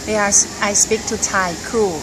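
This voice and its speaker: A woman's voice: medium pitch, level tone, normal speed. A middle-aged woman in an airline uniform, speaking hesitant English with many fillers, recorded close on a phone in an airport terminal. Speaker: airline crew member. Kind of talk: casual interview. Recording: background noise in terminal.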